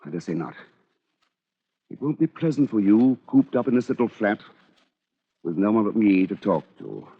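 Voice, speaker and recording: An actor playing a dry, resentful British bachelor lawyer with a measured, controlled manner, in 1940s radio drama. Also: male voice, fairly low pitch, expressive, slightly rough. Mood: coolly gloating. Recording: dull lo-fi old radio recording.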